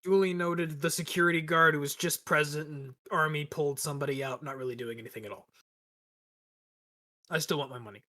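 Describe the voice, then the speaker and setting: Man, roleplaying gamer, forward bright tone on the higher side, loose joking self-deprecating chatter. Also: steady tone, fast talker, hardly any pauses. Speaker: player in a tabletop roleplaying game. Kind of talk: casual banter and loose in-character recap.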